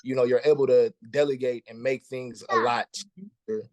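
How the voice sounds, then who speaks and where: A man's voice: mid-range voice, fairly even intonation, a little fast, dry recording, muffled recording. A man in his thirties, a musician guest talking casually in an interview, laid-back and slangy, often repeating words as he starts a thought. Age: 30s.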